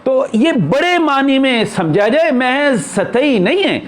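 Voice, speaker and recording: A man's voice: extremely high pitch, sing-song, takes it slowly. A man giving an unscripted spiritual discourse as a teacher, explaining in short, broken phrases.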